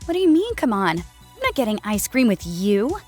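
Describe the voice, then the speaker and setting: A woman voicing a weary, skeptical, grumbling female furred alien in a narrated sci-fi story, quiet and sullen. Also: on the high side, huge pitch swings, moderate pace, soft background music. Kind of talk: audio fiction character acting.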